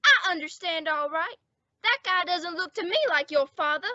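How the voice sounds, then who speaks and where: Woman playing a very high-pitched lovesick teenage boy. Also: moderate pace, sharp tone, no room sound, highs cut off. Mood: indignant, nervous. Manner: earnest, overwrought. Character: lovesick, anxious, cowardly teenage boy, squeaky and melodramatic. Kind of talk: old-time radio comedy acting.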